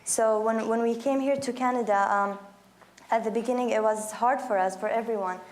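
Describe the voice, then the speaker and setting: A woman in her early twenties from Iraq, speaking as a panelist with many pauses, ums and restarts. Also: a little high, fairly even intonation. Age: 20s. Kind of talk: panel discussion.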